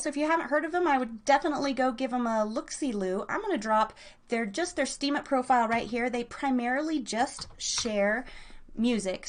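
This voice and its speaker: High-voiced woman hosting a casual live show. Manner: informal, slangy, rambling, addresses listeners directly. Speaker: host of a live online radio show and podcast.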